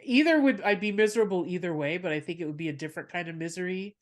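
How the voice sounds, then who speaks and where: Woman, podcast host, comedian, lowish voice, chatty, frequent laughs, rambling. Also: fast. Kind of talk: casual podcast chat.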